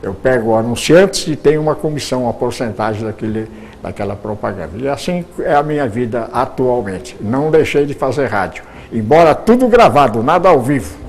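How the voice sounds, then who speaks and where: An older man, a retired radio producer, reminiscing in an interview, with halting speech full of repeated words and restarts. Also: medium pitch, animated, vocal fry, darkish tone, slight background noise.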